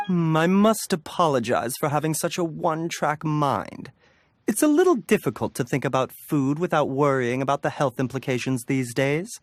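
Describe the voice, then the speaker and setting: An actor playing a gentle, scholarly male doctor in a video game, with a polite, refined, measured manner. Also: a little high, expressive, lightly acted.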